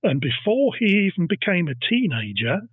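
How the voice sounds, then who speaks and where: Guy telling a history story on a podcast, bit husky, thin phone-ish sound. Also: high voice, sing-song, moderate pace, no room sound, phone-quality sound. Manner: teasing, banters with co-host. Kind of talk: casual comedic storytelling.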